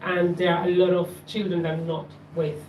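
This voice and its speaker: A woman from Bolivia speaking up from the audience at an open panel, in an earnest, measured, slightly halting way. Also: lowish voice, flat delivery, darkish tone, slight background noise. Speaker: audience member. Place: community event room. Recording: echoey room, distant mic.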